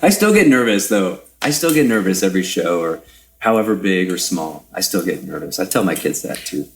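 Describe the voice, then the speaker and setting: A man's voice: sits low, big pitch swings, fairly quick. A middle-aged man talking as a guest musician in a casual conversation, in a thoughtful, meandering way that often trails off.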